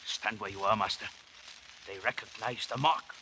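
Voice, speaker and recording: A man's voice: fairly low pitch, fairly even intonation, normal speed, lightly acted. An actor playing a grave, loyal interpreter, formal and deferential to his master, in a 1950s radio drama. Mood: solemn. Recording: faintly noisy 1950s radio recording.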